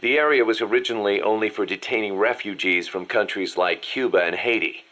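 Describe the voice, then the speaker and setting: A man playing a gruff, serious military second-in-command in a dramatic game audio scene, speaking with emphasis. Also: lowish voice, a little fast, sharp tone. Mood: grave, explanatory. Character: gruff, urgent military second-in-command briefing his boss.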